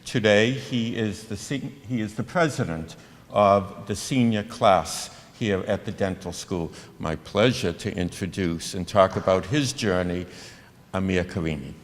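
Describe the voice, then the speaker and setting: A man's voice: low voice, a little slow. A man as master of ceremonies, formally and proudly introducing the next speaker. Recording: reverberant hall with audience.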